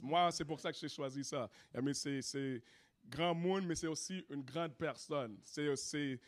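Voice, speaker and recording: A man with a Haitian French accent, a rapper and singer, talking casually in an interview and mixing in English with lots of "you know what I mean". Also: on the high side. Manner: laid-back, peppers speech with "you know what I mean".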